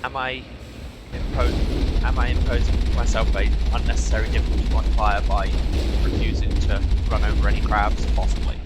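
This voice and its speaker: A man's voice: lowish voice, flat delivery, normal speed, heavy background noise. A man giving casual, rambling live commentary on a video game with wry, jokey asides. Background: video game music and sound.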